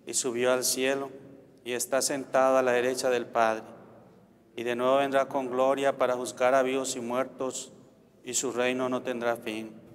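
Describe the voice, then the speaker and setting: A man, a priest leading Mass, reciting prayers in a solemn, measured liturgical style. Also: medium pitch, flat delivery. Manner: solemn, measured, formulaic delivery. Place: large church sanctuary, indoors. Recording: reverberant church, background noise.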